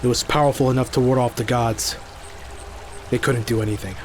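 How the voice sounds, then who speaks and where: A man's voice: mid-range voice, fairly even intonation, a little fast, soft background music, natural voice. A man playing a grave, irritable mystical warrior in an audio drama.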